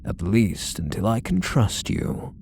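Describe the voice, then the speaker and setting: A man playing a possessive, doting kidnapper obsessively in love, speaking in soft, coaxing, condescending endearments for an intimate ASMR roleplay. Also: fairly low pitch, very animated, a little slow, creaky, a little hiss or hum, exaggerated voice. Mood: tender, controlling.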